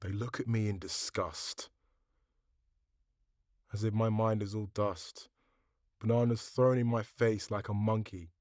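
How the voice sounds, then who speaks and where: A man giving a dramatic poetry reading, deliberate, with pauses between short phrases. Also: fairly low pitch, fairly even intonation, a little mellow, no echo, clean studio sound. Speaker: poetry reader.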